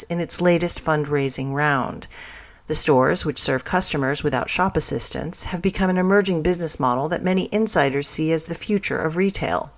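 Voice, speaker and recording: A woman reading a business news story as scripted narration. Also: low voice.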